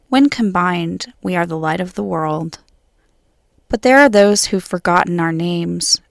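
A woman poet reading her own poems in a measured, solemn way. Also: lowish voice, gravelly, a bit bright, dry recording, clean studio sound. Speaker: guest poet. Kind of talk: poetry reading.

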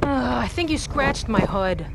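A woman's voice: fairly high pitch, expressive, measured pace, creaky. A woman playing a tough, defiant young street racer in a video game cutscene, blunt and curt. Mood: urgent. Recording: loud noise behind the voice.

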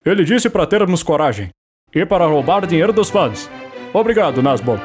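Brazilian male fan dubber, frantic comic shouting, slightly high pitch. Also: big pitch swings, moderate pace, faint music behind. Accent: Brazilian Portuguese. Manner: shouty, panicked comic delivery. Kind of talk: fan dub of a comedy cartoon.

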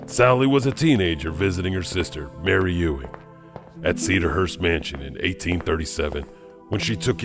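Horror anthology host played by a man: wry storytelling janitor, lowish voice, clear music bed underneath. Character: wry janitor host telling creepy urban legends. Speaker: host and narrator. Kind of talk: scripted horror anthology narration.

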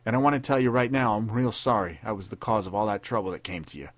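A man's voice: medium pitch, lively intonation, moderate pace, husky, no room sound, voice pushed up. Man putting on a whimpering ex-gangster private. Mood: pleading. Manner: whining, pleading, slangy, stammering. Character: frightened young male ex-gangster private.

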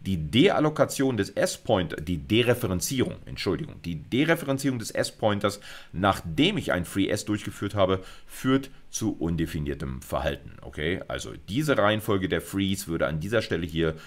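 A man's voice: sits low, big pitch swings, moderate pace. A middle-aged man lecturing at university level in an explanatory screencast, informal and emphatic, with frequent check-in words. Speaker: university computer science lecturer. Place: indoors, recorded screencast.

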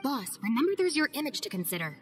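A woman voicing a calm, practical young woman in a game, speaking in a level, measured way. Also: fairly high pitch, faint music behind. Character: calm, practical young woman, loyal gang second-in-command. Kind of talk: video game cutscene voice acting.

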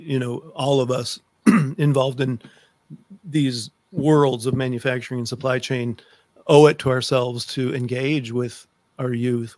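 A man's voice: medium pitch, dry recording. A middle-aged American man hosting a conversational interview, speaking into a close desk microphone. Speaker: podcast host. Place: room with bookshelves, on video call. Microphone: close studio microphone on desk.

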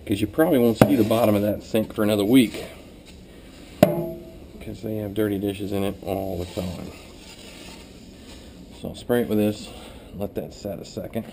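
A man's voice: lowish voice, fairly even intonation, moderate pace, creaky, pauses often. A man giving casual, hands-on cleaning instructions in a plain, practical way while he works indoors, recorded on a camera he wears. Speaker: cleaning trainer. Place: small workplace kitchen, indoors. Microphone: body-worn or head-mounted camera. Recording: noisy action-camera audio with room ambience.

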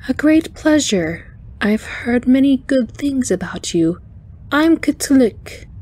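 A woman's voice: sits high, expressive, darkish tone, voice pushed up, full character voice. A woman voicing a cheerful, intense female alien spider engineer in an audiobook, with a light chiming voice and courteous, precise speech. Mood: warm, cheerful. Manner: brisk, precise, courteous, slightly formal. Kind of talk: audiobook character voice.

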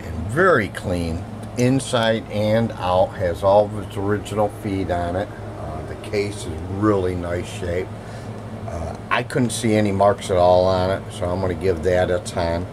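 A man's voice: low voice, unhurried, noisy background. A man giving a casual, unscripted, plain-spoken product demonstration as a seller, recorded indoors on a handheld camera's microphone. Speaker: seller demonstrating used audio gear. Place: indoor room at home. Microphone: handheld camera mic, speaker off screen. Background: music playing from a record.

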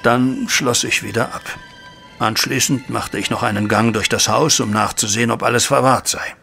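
A middle-aged widowed banker in an audio drama, worried and disappointed as a father. His mid-pitched voice has a gravelly creak. He tells his account formally and deliberately, over music. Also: fairly even intonation, sharp tone. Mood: grave, agitated. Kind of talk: German audio drama acting, recounting events. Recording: music bed behind voice.

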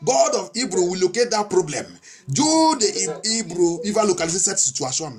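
A man's voice: high-pitched, sing-song, normal speed, music in the background. A male pastor preaching and praying in two languages, declamatory, insistent and repetitive. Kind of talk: bilingual sermon and fervent prayer.

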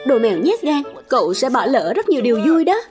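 A woman's voice: high-pitched, big pitch swings, fast talker. A woman voicing a bold, reckless young cartoon character who is eager and teasing. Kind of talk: Vietnamese cartoon dub. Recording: music playing under voice.

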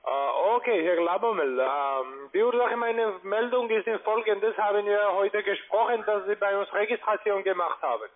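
A man with a foreign accent giving a halting, formal phone sales pitch in broken, non-native phrasing. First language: not German. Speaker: cold-calling account manager. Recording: tinny, band-limited phone line.

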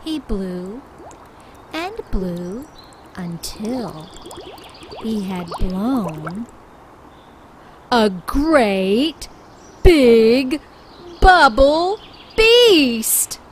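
Very slow, lively woman reading to children. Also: on the high side, slight background noise. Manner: expressive, deliberate, with drawn-out emphasis. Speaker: children's story reader. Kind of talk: read-aloud storybook narration for young children. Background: background music.

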